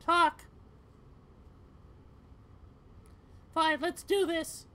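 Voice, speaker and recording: A woman voicing a troubled, frightened young female cat in video game dialogue, speaking haltingly. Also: very high voice, steady tone, bright, forceful tone, pitched above the performer's own voice, exaggerated voice. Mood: defiant, demanding.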